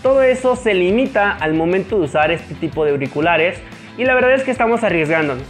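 High-voiced upbeat Mexican man. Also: expressive, fast talker, faint music behind. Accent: Mexican Spanish. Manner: upbeat, eager interviewer. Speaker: host. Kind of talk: product review chat. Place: motorcycle gear shop, indoors.

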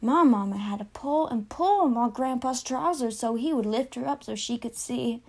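A woman playing an excited little farm girl of long ago, telling her story in a wide-eyed, eager storytelling manner. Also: a little high, normal speed, breathy edge. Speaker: student performer. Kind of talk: dramatised oral-history monologue.

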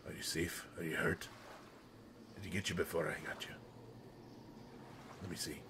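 A man playing a brutish, slow-witted but protective monster in an audio roleplay, speaking in halting phrases that swing from hushed to loud. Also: low-pitched, steady tone, slight background noise. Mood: concerned, soothing. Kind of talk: intimate dramatic audio roleplay monologue.

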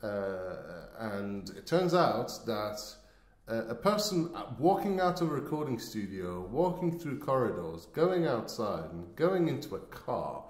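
A man chatting casually as a podcast host, speaking haltingly. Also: mid-range voice, very animated. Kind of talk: casual chat. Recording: roomy, echoey sound.

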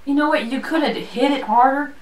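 A woman's voice: high-pitched, brisk, slight background noise. Giggly woman grumbling at a video game. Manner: laughing, cursing at the game, frustrated exclamations. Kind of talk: casual chat while playing a video game. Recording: roomy, distant home video.

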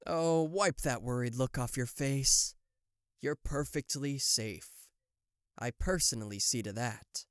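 Man acting a slow-talking possessive demon CEO. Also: mid-range voice, fairly bright tone, smooth, dry recording, studio quality. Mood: smug, menacing. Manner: theatrical, condescending, deliberate. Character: possessive, smug male TV-headed demon tech CEO. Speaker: voice actor. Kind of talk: yandere ASMR roleplay addressed to the listener.

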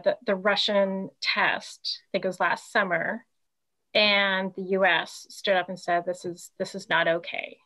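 A woman on a panel video call, speaking in a relaxed, conversational way with some fillers. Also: medium pitch, low-quality recording. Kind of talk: panel discussion. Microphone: earbuds on a video call.

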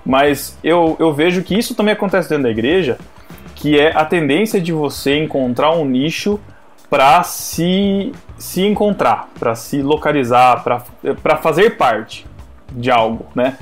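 A man co-hosting a casual podcast chat, animated and rambling, often restarting his sentences. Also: on the high side, a little slow, soft background music. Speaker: podcast co-host.

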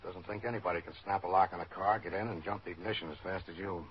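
An actor playing a tough police detective in an old radio drama, dry, laconic and needling. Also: male voice, low voice, steady tone, fast talker, close to an everyday voice. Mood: dryly needling. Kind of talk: 1950s radio drama acting. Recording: muffled, noisy old radio recording.

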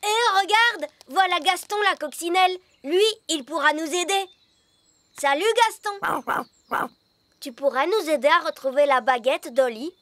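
Eager high-voiced young elf boy. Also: lively intonation, unhurried, fairly bright tone, no room sound, heavily acted. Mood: helpful, confident. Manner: earnest, exclaiming, hesitant when unsure. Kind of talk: children's cartoon dub.

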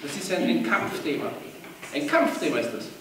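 A conductor rehearsing a choir, his voice high and swinging widely as he sings out phrases between instructions. He is heard from a distance in an echoey room. He sounds lively and driven. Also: a little fast. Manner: animated, sings phrases to demonstrate. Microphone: far camera.

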